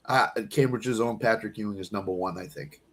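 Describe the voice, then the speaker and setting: A man's voice: lowish voice, fairly quick, fairly bright tone, a little hiss or hum. A man in his fifties debating sports casually as a panelist on a talk show. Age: 50s.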